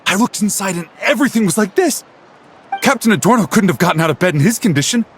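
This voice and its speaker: An actor playing a flustered young knight who stammers out a rushed patrol report in a video game. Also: male voice, high voice, expressive, a little hiss or hum. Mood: worried.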